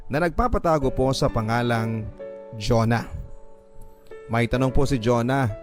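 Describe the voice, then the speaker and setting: A Filipino man reading listener letters as a radio host, in an earnest, sentimental, dramatic storytelling style. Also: mid-range voice. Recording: music behind the voice. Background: background music.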